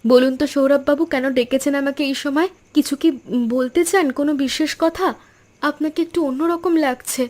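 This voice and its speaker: A woman voicing an earnest, expressive young granddaughter who cooks at a food stall in an animated story. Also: high voice, fairly quick, natural voice. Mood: curious, anxious. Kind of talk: Bengali animated story dialogue.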